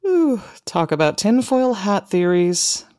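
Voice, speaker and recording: A woman's voice: medium pitch, sing-song, takes it slowly, a little mellow, smooth. An actress playing a wry, curious young woman in a naturalistic audio drama, talking in a hesitant, sardonic, conversational way. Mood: wry, casual.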